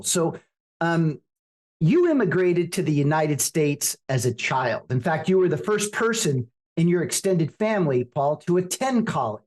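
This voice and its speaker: A man's voice: high voice, darkish tone, echoey room. A man hosting a podcast, reading a scripted introduction and then asking interview questions with emphatic delivery. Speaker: podcast host.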